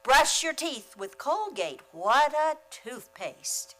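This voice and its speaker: A woman acts as a vintage radio commercial announcer selling with emphasis. Her voice is fairly high and bright, and she takes her lines slowly in a salesy, scripted style. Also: sing-song, heavily acted. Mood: upbeat, persuasive. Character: emphatic old-time radio toothpaste pitchwoman.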